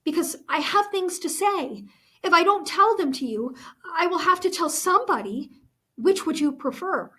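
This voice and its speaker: A woman's voice: very high voice, expressive, moderate pace, bright, forceful tone, voice pushed up, exaggerated voice. A woman reading the part of an anxious, earnest girl in a children's novel, pleading as she talks. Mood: urgent, pleading.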